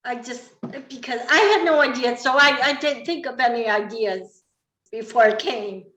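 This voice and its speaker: A woman's voice: fairly high pitch, normal speed, pushed and bright. A woman speaking casually as a guest in a group podcast conversation, in an echoey room. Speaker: writing course graduate, podcast guest. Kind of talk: casual chat.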